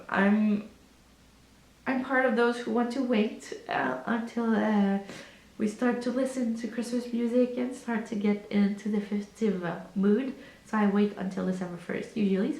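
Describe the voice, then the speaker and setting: A woman in her thirties talking casually in a vlog, her voice hoarse and croaky from a cold, calm and unhurried with many fillers. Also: medium pitch. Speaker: vlogger. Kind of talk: casual chat. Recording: echoey room.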